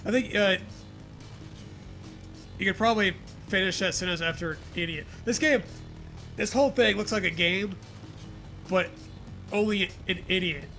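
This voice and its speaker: A man's voice: high-pitched, unhurried, pauses often, sharp tone, faint music behind. A man reading a story aloud for comedy, breaking off to swear and riff casually.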